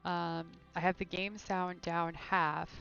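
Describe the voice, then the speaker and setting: A woman's voice: lowish voice, little pitch movement, slow pace, creaky, a bit bright, dull audio, faint music behind. Chatty woman streaming a game. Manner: chatty, thinks aloud, rambling. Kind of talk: casual live gameplay chat. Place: room at home.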